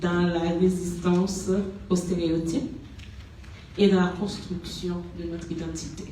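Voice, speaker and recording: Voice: medium pitch, flat delivery, a little slow, mellow, a little hiss or hum. An interviewer poses long, carefully framed questions in a formal but friendly way. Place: community centre hall. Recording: echoey room, voice from a distance.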